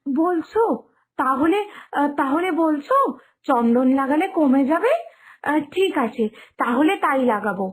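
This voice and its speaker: A woman's voice: high voice, animated, unhurried, long pauses. A woman voicing a sulky young sister-in-law in a cartoon story, complaining in a pouting, dramatic way. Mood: relieved, eager. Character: whiny, sulky young sister-in-law fretting over her looks. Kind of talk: Bengali animated moral story dialogue. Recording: music behind the voice.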